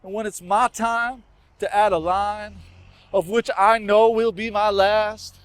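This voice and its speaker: A man's voice: sits high, fairly even intonation, a little slow, clear, resonant tone, no echo. A man performing rhymed spoken word poetry with a rhythmic, emphatic and earnest delivery.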